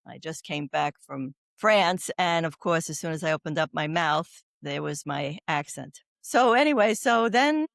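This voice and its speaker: A woman's voice: deep voice, lively intonation, a little slow, vocal fry, hardly any pauses, dry recording, clean studio sound. An older woman from New York with a Brooklyn accent, a chatty, self-deprecating guest telling stories in a casual interview. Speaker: guest, oncology doula.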